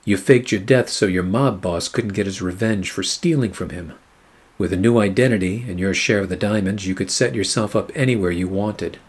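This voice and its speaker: A man playing a weary, wry private eye, narrating a noir radio play in a sardonic, measured way. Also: lowish voice, husky. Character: weary, wry hard-boiled male private eye narrating his case.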